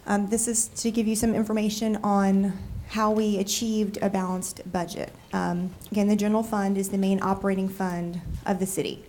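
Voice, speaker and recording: A woman with a cold, nasal and froggy, presenting a city budget to council in a measured way with frequent ums. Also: mid-range voice, flat delivery, mellow, slight background noise. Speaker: city deputy budget director. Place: council chamber.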